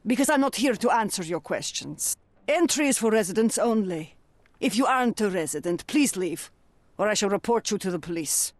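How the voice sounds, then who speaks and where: A woman's voice: mid-range voice, lively intonation, a little fast, lightly acted. An actress voicing a stern, suspicious elderly landlady in a detective video game, scolding and bossy. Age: older. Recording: dry close studio sound.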